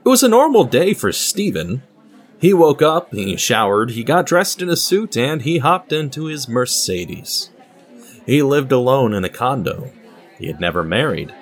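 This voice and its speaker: A man's voice: mid-range voice, very animated, a little slow, slightly rough. A man narrating a scripted dramatic story, reading as a storyteller. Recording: music under the voice.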